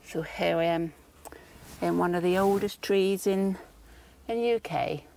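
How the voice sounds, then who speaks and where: Slow-talking woman vlogging outdoors. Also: on the low side, steady tone, vocal fry, a little mellow, a little hiss or hum. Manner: wondering, unhurried musing in short phrases. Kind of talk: casual nature walk vlog narration. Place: outdoors in woodland.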